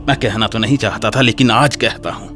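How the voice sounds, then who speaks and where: A man's voice: fairly low pitch, brisk, fairly bright tone, faint music behind, natural voice. A man playing a defiant, bitter young student in a radio drama, speaking emphatically and argumentatively. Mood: scornful, insistent. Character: defiant, hot-headed young male student, wounded and bitter.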